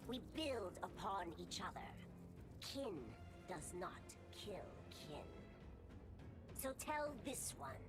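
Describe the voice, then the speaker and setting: A woman's voice: medium pitch, big pitch swings, slow. A woman voicing a haughty, vengeful insectoid creature in an imperious, disdainful video game monologue. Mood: scornful. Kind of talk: dramatic video game narration. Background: dramatic music.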